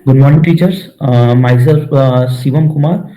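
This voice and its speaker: A young male student from Bihar introducing himself, hesitant with many pauses. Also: medium pitch, warm tone, poor audio. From Bihar, India. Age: young adult. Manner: slow, hesitant. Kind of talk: self-introduction.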